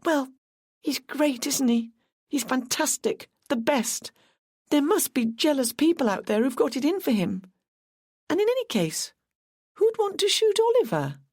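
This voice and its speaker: A woman's voice: sing-song, unhurried, a little mellow, dry recording, clean studio sound, full character voice. A woman voicing a timid, tearful young woman in her twenties who sounds anxious and gushing. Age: 20s. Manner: high, sniffly, anxious and gushing.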